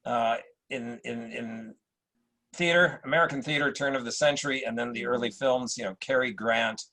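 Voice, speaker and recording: A man with a mid-pitched, husky and gravelly voice talks like an actor in casual discussion. He explains at length, hesitantly circling his point. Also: moderate pace, pushed and bright, poor audio.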